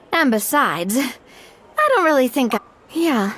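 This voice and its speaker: An actress playing a composed, friendly young noblewoman in a video game, polite and conversational with a concerned, expressive manner. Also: high voice, slow, background noise. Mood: apologetic, explaining.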